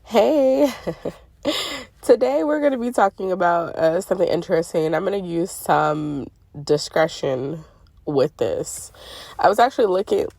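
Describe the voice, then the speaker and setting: Gravelly low-voiced congested woman. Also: expressive, measured pace, darkish tone, no echo. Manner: chatty, meandering, many fillers and self-corrections. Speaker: spiritual vlogger sharing dreams. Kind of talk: casual rambling monologue.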